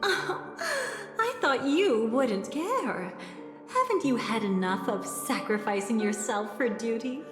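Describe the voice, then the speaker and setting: Slow-spoken, sly woman schemer. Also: on the high side, sing-song, full character voice. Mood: amused, mocking. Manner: measured, theatrical, sly delivery. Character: poised, teasing, secretive woman schemer. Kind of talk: video game voice acting. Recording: echoing reverb under loud music.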